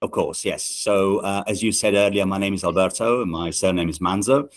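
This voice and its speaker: A middle-aged man from Italy talks in a deep, low voice worn smooth by life in Britain. He answers a podcast host thoughtfully, pausing often on fillers and restarting phrases. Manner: measured, with many "uh" and "um" pauses and restarts. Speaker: management consultant and guest. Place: podcast studio.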